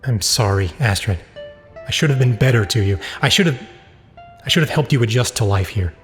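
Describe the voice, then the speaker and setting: An actor plays a burdened, regretful father in middle age. His earnest voice has an average male pitch and rings with long reverb in a dramatic radio play. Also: expressive, fairly quick, close to an everyday voice. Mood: remorseful, tender. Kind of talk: audio drama acting. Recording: long reverb, music underneath.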